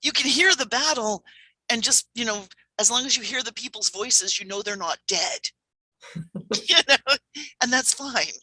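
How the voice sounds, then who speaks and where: Female panelist, very bright and sharp tone, chatty and emphatic, dry close sound. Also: fairly high pitch, unhurried, slightly rough, no room sound, poor audio. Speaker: panelist, audio drama writer. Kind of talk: casual panel discussion.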